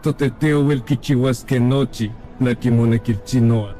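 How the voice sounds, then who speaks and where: A man playing a calm, grave messenger of the gods guiding a mortal in a fan-dubbed cartoon. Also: medium pitch, slow pace, close to an everyday voice. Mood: grave, urging. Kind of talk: fan-dubbed animated drama.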